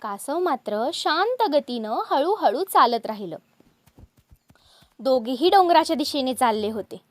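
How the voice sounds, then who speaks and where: A woman narrating a scripted fable for children, expressive and speaking directly to her young listeners. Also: high voice, unhurried, no room sound. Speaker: children's storyteller.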